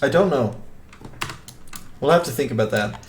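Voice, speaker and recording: A man's voice: mid-range voice, lively intonation, normal speed, a little hiss or hum. A young man from southern Sweden with a Swedish accent, a game streamer chatting casually and rambling with lots of fillers while he plays. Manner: rambling, many fillers and restarts, easygoing. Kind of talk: casual chat while gaming and reading chat.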